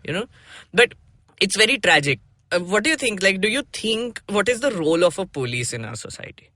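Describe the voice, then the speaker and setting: Bright, higher-pitched Indian man telling stories. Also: fairly quick, no room sound. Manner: animated, mixes Hindi phrases into English. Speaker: podcast host. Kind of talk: casual personal storytelling and discussion.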